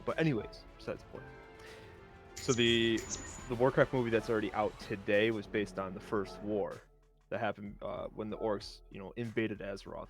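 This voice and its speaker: A man's voice: fairly low pitch, vocal fry. A man explaining things casually as a nerdy fan on a discussion panel. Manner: self-described nerdy enthusiast. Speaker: round table panelist.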